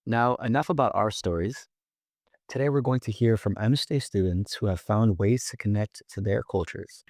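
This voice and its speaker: A teenage boy with a very low voice co-hosts a school podcast in a loose, conversational way. He talks casually and leans on easy fillers. The recording is close and dry. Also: normal speed, no echo. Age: teens. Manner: relaxed, with frequent fillers. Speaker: student podcast co-host.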